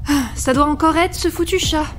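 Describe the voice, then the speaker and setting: A woman's voice: high voice, steady tone, brisk, a bit bright, slight background noise. A woman playing a busy, snobbish start-up worker in a comic audio drama, self-assured and trendy in manner.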